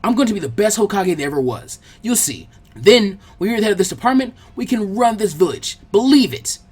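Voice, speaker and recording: A man's voice: high-pitched, sing-song, a little fast, a little mellow, slightly rough, voice pushed up, heavily acted. A man voicing a brash young boy ninja, eager, boastful and given to whining, in an audiobook-style story. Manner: boastful, whiny.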